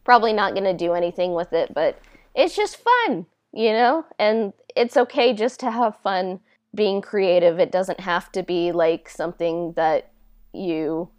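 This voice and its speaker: A woman's voice: mid-range voice, lively intonation, measured pace, no room sound. A woman speaking in a casual interview, informal and hesitant, with a self-deprecating manner. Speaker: podcast host and audio drama writer being interviewed.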